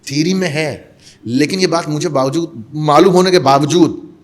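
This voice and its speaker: Animated Mumbai man telling stories. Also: fairly high pitch, normal speed. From Mumbai, India. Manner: animated storyteller mixing Hindi and English. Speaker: guest, actor and voice-over artist. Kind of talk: casual interview storytelling.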